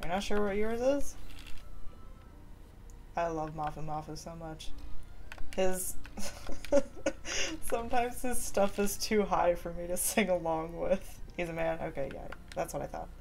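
A woman streaming a game from home, chatting with viewers in a relaxed, giggly way. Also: fairly low pitch, a little slow, pauses often, a little hiss or hum. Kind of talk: casual chat while gaming. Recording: faint keyboard typing behind.

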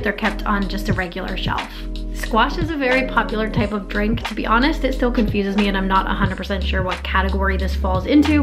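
A young woman from North America chatting casually in a vlog, upbeat and talkative, often using "like" as a filler. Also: mid-range voice. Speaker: vlogger.

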